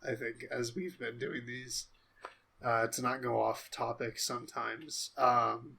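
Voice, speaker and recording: A man's voice: mid-range voice, level tone, slow pace, creaky, pushed and bright, slight background noise. A man co-hosting a pop culture podcast, talking casually in an informal discussion. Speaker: podcast co-host.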